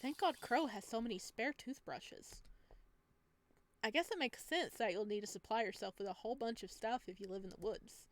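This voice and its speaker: Woman reading as a chatty, higher-voiced houseguest. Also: moderate pace, natural voice. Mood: musing. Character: chatty, enthusiastic stranded traveler staying as houseguest. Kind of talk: visual novel protagonist reading.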